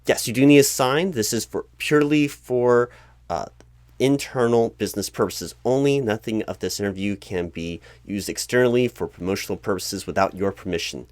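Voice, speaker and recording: A man playing a polite, flustered, bureaucratic recruiting manager in a spoken interview roleplay, haltingly repeating himself and over-explaining. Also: on the low side, no room sound, natural voice. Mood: formal, patient. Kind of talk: one-sided ASMR interview roleplay, spoken aloud without whispering.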